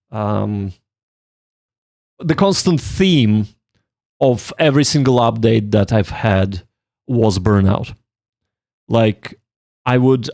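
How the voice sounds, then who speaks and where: A man's voice: medium pitch, a little mellow, no room sound, studio quality. A man chatting casually on a study livestream, thinking aloud and reflecting on himself in a rambling way. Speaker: streamer learning Japanese. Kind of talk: casual livestream chat with study and game reading.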